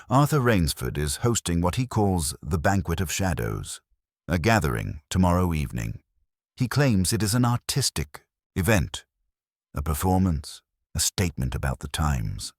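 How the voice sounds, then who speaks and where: A man's voice: extremely low pitch, very animated, measured pace, dry recording, studio quality, exaggerated voice. A man voicing an anxious, formal and composed wealthy woman in her fifties, with brittle hesitancy, in a detective audiobook. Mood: anxious, urgent.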